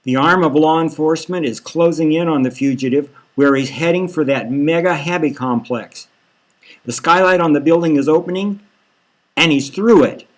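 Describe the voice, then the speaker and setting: A man voicing an excitable news reporter machine giving live commentary on a chase in an audiobook. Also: fairly high pitch, normal speed, natural voice. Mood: excited.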